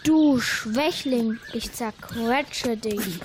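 A woman's voice: fairly high pitch, extremely slow, darkish tone, slight background noise. A young female playing an angry, stubborn bear in an amateur radio play, with birdsong behind. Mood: angry, threatening. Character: angry stubborn bear fighting over prey.

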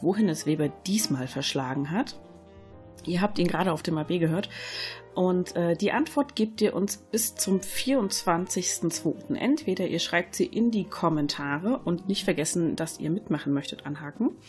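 Lower-voiced woman co-hosting over music. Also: a little mellow. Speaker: podcast co-host. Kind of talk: casual chat, announcing contest details.

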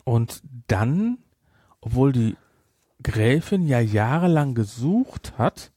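Male podcast host, conversational and halting, medium pitch, very dry recording. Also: big pitch swings, warm tone, husky, no room sound. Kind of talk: casual chat reviewing a film.

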